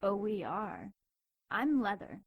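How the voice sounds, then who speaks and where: A husky demon seductress, close and dry. She is coy and teasing with a sinister edge, in audio drama style. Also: mid-range voice, sing-song, slow pace, no room sound, phone-quality sound, full character voice. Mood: teasing menace. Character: sultry, teasing, menacing female demon seductress.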